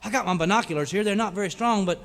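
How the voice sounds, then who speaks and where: A man's voice: high-pitched, racing, a bit bright. An actor playing an earnest, brainy cowboy in a parody of western radio serials, hesitating as he works things out. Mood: watching anxiously. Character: earnest brainy cowboy, folksy explainer of silly science.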